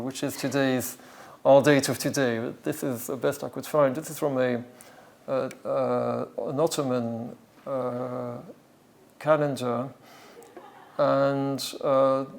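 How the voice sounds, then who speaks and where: A man giving an academic talk off the cuff, hesitant and halting as he thinks aloud. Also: mid-range voice, vocal fry, a little mellow. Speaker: academic lecturer. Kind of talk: academic talk with extempore explanation.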